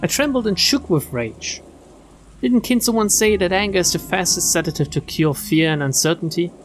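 A woman reads visual novel text aloud as a streamer. Her delivery is expressive narration, following the story's inner thoughts. Game music plays behind her voice. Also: fairly low pitch, moderate pace.

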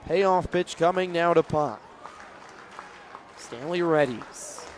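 A young man does baseball play-by-play on the radio in a fairly high voice. He is at an outdoor ballpark, with crowd and stadium noise behind him. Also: takes it slowly. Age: young adult. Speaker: play-by-play broadcaster. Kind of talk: live baseball radio commentary.

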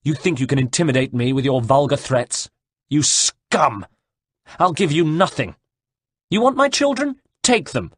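A man's voice: a little high, big pitch swings, a little slow, a bit bright, slightly rough, no room sound, clean studio sound, pitched above the performer's own voice, full character voice. A man voicing a fierce, defiant Italian noblewoman in an audiobook, crying out vehemently. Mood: furious defiance. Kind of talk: audiobook character dialogue.

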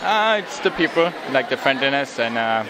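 A man's voice: medium pitch, very animated, moderate pace. A young man from Germany with a German accent, a chef talking plainly with many fillers in a casual interview. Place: indoor festival booth. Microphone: handheld mic held by interviewer. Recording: noisy crowded festival hall.

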